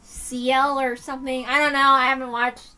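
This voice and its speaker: A woman's voice: high voice, flat delivery, moderate pace, bright, forceful tone, clean tone, soft background music. A woman who reads visual novels aloud, dropping into a casual aside in her own voice. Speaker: reader of a visual novel on a channel.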